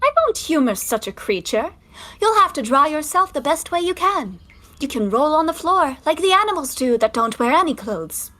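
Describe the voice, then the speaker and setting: A prim young woman of the 1920s played by an actress, exasperated and easily scandalized. Her high, bright voice swings up and down as she scolds, in the manner of comic stage acting. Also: very animated, moderate pace. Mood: exasperated scolding. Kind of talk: 1920s one-act stage comedy acting.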